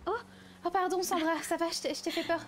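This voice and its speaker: A woman's voice: very high voice, little pitch movement, quick pace, soft background music. A woman playing an earnest, awkward young film actress in a game roleplay, talking informally and hesitantly. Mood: dejected, apologetic. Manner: hesitant, informal, repeats herself.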